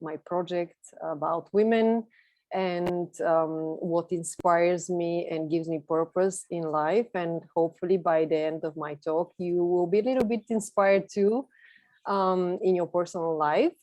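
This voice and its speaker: A woman's voice: lowish voice, steady tone, unhurried, a little mellow, no room sound, poor audio. Woman, close dry sound. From Bulgaria. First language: Bulgarian. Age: 30s. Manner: chatty, enthusiastic, laughs lightly while talking. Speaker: guest speaker, photographer. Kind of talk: informal personal talk to an online group. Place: online video call.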